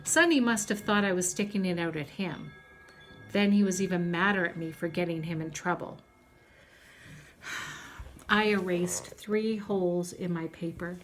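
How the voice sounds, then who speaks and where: A woman's voice: medium pitch, steady tone, unhurried, long pauses. Woman reading a storybook over background noise. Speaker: teacher reading a children's book aloud. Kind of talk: storybook read-aloud.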